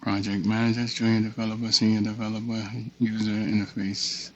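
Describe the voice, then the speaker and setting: A man giving a casual screen-share walkthrough, thinking aloud in a halting mutter and reading text off the screen. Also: lowish voice, flat delivery, a bit bright, slight background noise. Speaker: tutorial presenter. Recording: dull, muffled lo-fi audio.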